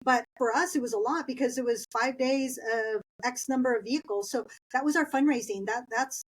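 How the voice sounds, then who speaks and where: A woman's voice: fairly high pitch, fairly quick, low-quality recording. A middle-aged woman from East Los Angeles, whose first language is Spanish, telling stories from her school years as an interview guest. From East Los Angeles, Southern California. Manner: chatty, anecdotal, laughs while telling stories. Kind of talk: conversational interview storytelling.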